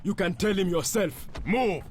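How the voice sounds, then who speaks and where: Very high-pitched menacing rebel man. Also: lively intonation, a little slow. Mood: menacing anger. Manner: aggressive, menacing. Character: angry, threatening male rebel enforcer. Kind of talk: video game cutscene acting.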